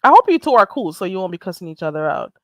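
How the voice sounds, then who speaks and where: A woman's voice: on the low side, sing-song, rapid-fire, a bit bright, no echo, clean studio sound. A woman hosting a live online panel, chatting informally and moderating with an amused manner. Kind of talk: casual chat, moderating.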